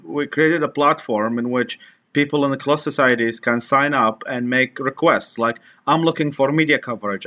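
Bright-voiced man in his twenties. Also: mid-range voice. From Iraq. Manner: halting, with many restarts and fillers. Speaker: guest, activist. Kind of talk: conversational interview.

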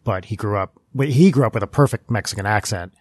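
A man's voice: lowish voice, fairly quick. A man from North Jersey with a mild Northeastern accent, talking in an easygoing, reflective way in a casual chat. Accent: mild Northeastern American. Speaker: guest, physicist.

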